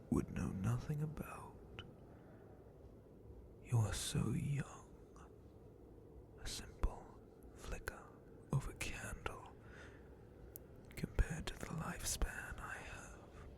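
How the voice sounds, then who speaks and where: Man voicing a slow, whispering, sadistic ancient dragon. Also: medium pitch. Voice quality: breathy, gravelly whisper. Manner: menacing, condescending, drawn-out theatrical delivery. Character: ancient sadistic male dragon guard captain, possessive captor. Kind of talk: ASMR roleplay.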